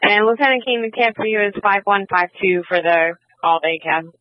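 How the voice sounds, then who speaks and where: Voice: mid-range voice, normal speed, no room sound. A police dispatcher passing information to units over a two-way radio. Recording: dry, tinny police scanner radio.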